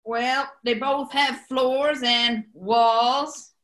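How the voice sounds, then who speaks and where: A woman's voice: a little high, fairly even intonation, extremely slow, fairly bright tone. An actress playing a brash, sardonic old New York landlady haggling over shabby rooms in a 1940s-style radio comedy. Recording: echoey room.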